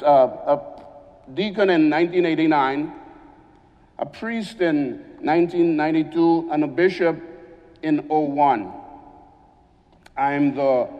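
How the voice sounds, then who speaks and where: A man from Honduras, a visiting bishop giving an unhurried church address with frequent pauses. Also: on the high side, big-room sound, dull audio.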